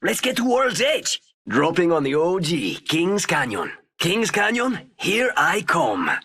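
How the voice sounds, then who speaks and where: A brash, impatient thrill-seeker from a video game. His voice is scratchy, bright and animated, sitting a little away from the mic. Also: a little high, unhurried, far from the mic, heavily acted. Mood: cocky excitement. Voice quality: raspy, creaky. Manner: brash, energetic. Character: cocky, impatient young male daredevil. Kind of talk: video game character lines.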